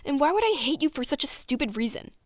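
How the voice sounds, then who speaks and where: A brash teenage witch jock from a cartoon, acted by a woman, speaks in a fairly high voice recorded close and dry. She is sarcastic and blunt, always sounding exasperated. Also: very animated, fast talker, no room sound. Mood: scornful, heated. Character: brash, sarcastic athletic three-eyed teenage witch girl. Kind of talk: comic dub acting.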